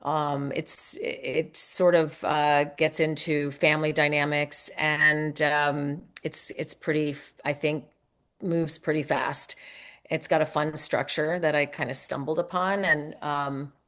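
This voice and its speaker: A woman with a low voice talks casually as an author being interviewed. Her delivery is conversational and a little hesitant, as she works out her thoughts while speaking. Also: flat delivery, a little slow, a bit bright. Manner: conversational, hesitant, thinking aloud.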